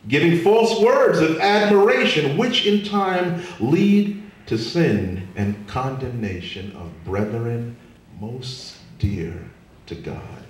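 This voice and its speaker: Echoey, slow-paced man reciting poetry. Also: mid-range voice, lively intonation. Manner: theatrical, emphatic delivery with big swells and hushed drops. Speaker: spoken word poet. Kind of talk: dramatic spoken word poetry recital. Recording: echoey room from far off, faint noise.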